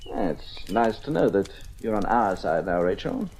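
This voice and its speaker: An actress playing a cool, scheming crime boss in an old radio mystery, with a suave, condescending delivery. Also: female voice, rumbling low, big pitch swings, measured pace, a little mellow, breathy edge, full character voice. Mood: smug, briskly instructing. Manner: suave, articulate, condescending, menacing. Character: cool, scheming, commanding criminal ringleader plotting a theft. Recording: noisy old radio recording.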